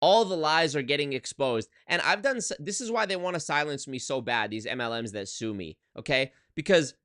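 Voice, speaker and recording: A man's voice: on the high side, animated, fairly quick, a bit bright, dry recording, studio quality. A man in his twenties, a YouTuber talking casually on a livestream from his apartment into a desk microphone, emphatic and swearing, often restarting his sentences. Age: 20s. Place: one-bedroom apartment.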